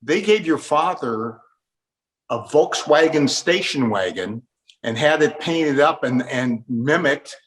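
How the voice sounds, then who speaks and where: A man's voice: fairly high pitch, expressive, slow pace, a bit bright, rough recording. An American man hosting a talk program, conversationally presenting facts and leading into stories. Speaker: program host and interviewer.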